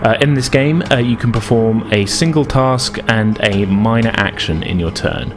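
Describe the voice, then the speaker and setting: A man's voice: fairly low pitch, normal speed. A man acting as game master, explaining and narrating a tabletop game in a casual, hesitant way.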